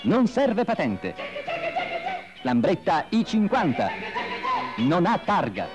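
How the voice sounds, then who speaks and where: A man's voice: high-pitched, wildly swooping pitch, takes it slowly, music in the background. A man delivering a punchy, salesy voice-over for an old advertisement. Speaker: advertising announcer. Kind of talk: vintage ad voice-over.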